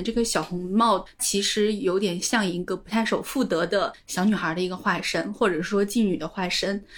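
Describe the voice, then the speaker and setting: Woman podcast host, mid-pitched voice, chatty but explaining things step by step. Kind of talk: conversational explanation of fairy-tale history.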